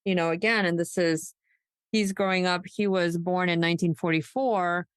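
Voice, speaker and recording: Low-pitched woman podcaster, close dry sound. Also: steady tone, moderate pace, smooth, no room sound. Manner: conversational, speculative, emphatic, says "like" often. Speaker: podcast co-host. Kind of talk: casual true crime discussion.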